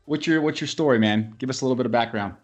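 A man's voice: medium pitch, faint music behind. Upbeat man hosting a podcast. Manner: upbeat, quick reactions. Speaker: podcast host. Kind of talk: casual interview.

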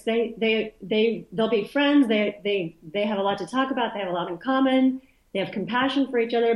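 A woman's voice: on the high side, a little mellow, roomy sound, low-quality recording. A woman who grew up speaking Polish, talking as an interview guest in a loose, thoughtful way, often restarting her sentences. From Poland. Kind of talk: casual conversational interview.

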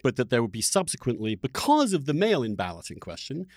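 Insistent man debating with swooping pitch. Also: huge pitch swings, quick pace, darkish tone, no room sound, studio quality. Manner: combative, insistent, presses with pointed questions. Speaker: podcast host and interviewer. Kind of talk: heated political debate.

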